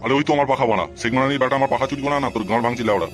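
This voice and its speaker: A man's voice: mid-range voice, very fast, faint music behind, close to an everyday voice. A man voicing an indignant, hot-tempered married man in a comic cartoon. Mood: furious. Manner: indignant, cursing.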